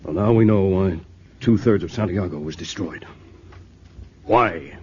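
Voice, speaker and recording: A man's voice: low-pitched, unhurried, darkish tone, a little hiss or hum. An actor playing a skeptical male army general with a clipped, matter-of-fact manner, in a 1950s radio drama. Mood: grim. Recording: dull old radio broadcast with music.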